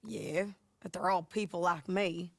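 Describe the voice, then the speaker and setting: A woman's voice: fairly low pitch, a little slow, gravelly, no room sound. A woman playing a hardened frontier bounty hunter in a video game, with a dry, clipped, matter-of-fact way of talking. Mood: gruff. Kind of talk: video game cutscene acting. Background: game music and horse hooves.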